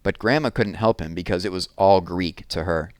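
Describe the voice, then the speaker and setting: Man, low voice, expressive storyteller, children's book read-aloud, dry close sound. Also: moderate pace, no echo.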